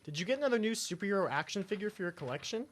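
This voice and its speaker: A man's voice: high voice, expressive, rapid-fire, fairly bright tone. A young man playing an unimpressed, dismissive teenage boy sidekick in a comedic radio serial. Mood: skeptical. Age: young adult.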